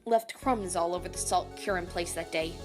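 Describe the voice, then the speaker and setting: A woman playing a brash, hot-tempered chef in an audio drama, gruff and sarcastic, cursing mildly in food words. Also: on the low side, normal speed, close to an everyday voice. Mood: exasperated. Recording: music behind the voice.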